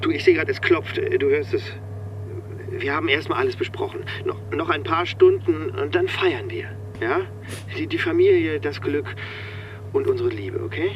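A man's voice: a little high, lively intonation, normal speed, muffled recording, slight background noise, close to an everyday voice. An actor playing a stressed, self-deluding career man in a radio drama, stammering, defensive and pleading. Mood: evasive, placating. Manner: stammering, flustered. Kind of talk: naturalistic radio drama acting.

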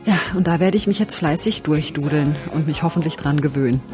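A woman speaking in a slightly low voice, chatty and frank and quick to poke fun at herself. She talks through her challenge in a casual first-person way, with faint music behind her. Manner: chatty, frank, self-mocking. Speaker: radio journalist doing a music challenge.

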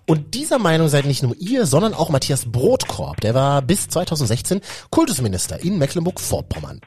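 A man's voice: a little high, sing-song, fairly quick, a little mellow, a little hiss or hum. A man from Berlin hosting a casual youth talk show, speaking colloquially and addressing viewers directly. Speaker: host.

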